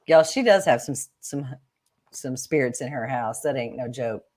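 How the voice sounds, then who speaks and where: A woman's voice: rumbling low, animated, normal speed, darkish tone. A woman co-hosting a show, explaining things in emphatic, folksy, casual chat. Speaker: co-host of a paranormal show.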